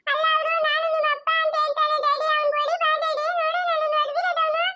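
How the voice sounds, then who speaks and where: A tiny, shrill little girl whining and tattling in a rapid rush of words. She sounds tearful and demanding, and there is noticeable noise behind her. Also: monotone. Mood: whiny.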